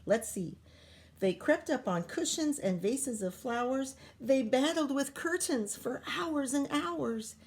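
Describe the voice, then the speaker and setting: Slow, expressive woman reading to children. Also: high voice. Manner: expressive, sing-song story reading, coaxing questions to young listeners. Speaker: primary school teacher reading aloud to pupils. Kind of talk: children's picture book read-aloud with asides and questions.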